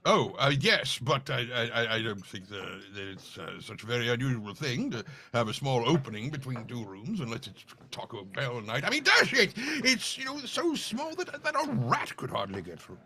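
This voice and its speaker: Baffled bumbling sidekick for a radio comedy, stammers and blusters, pitch jumps all over, bright tone. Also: male voice, big pitch swings, moderate pace, few pauses, breathy edge, full character voice. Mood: flustered. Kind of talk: live old-time radio acting, comedic.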